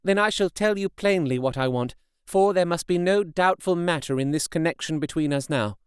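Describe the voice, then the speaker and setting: A devoted young wife, as a male reader puts her on, pleading with earnest tenderness. The voice sits noticeably higher than the reader's own, smooth and resonant. It is heard dry and close, with no room around it. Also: normal speed, dry recording, lightly acted. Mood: solemn pleading. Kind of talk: audiobook character reading.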